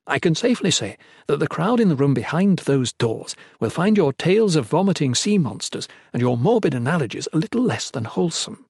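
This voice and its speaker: Man voicing a high-pitched, prim young acolyte. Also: sing-song, a little fast, hardly any pauses, darkish tone, slightly rough, dry recording, studio quality, exaggerated voice. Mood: bluntly prim. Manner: prim, scolding, educated phrasing. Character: fussy young well-bred male acolyte, exasperated and prim. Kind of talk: audiobook character voice.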